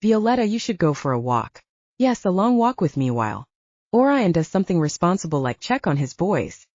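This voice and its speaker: A woman voicing a gruff, protective man with a blunt, scolding manner in an audiobook. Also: fairly low pitch, sing-song, a little fast, darkish tone, no echo, highs cut off. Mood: disapproving. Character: gruff, bossy, protective man who cooks.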